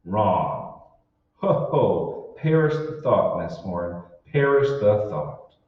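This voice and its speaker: A man's voice: on the low side, big pitch swings, slow pace. Man playing a puzzled husband, echoey and distant. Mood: exasperated. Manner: hesitant, bewildered, exclamatory. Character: puzzled, irritable married office man in sci-fi radio play. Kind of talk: old-time radio drama acting. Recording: roomy, muffled, distant stage recording with noise.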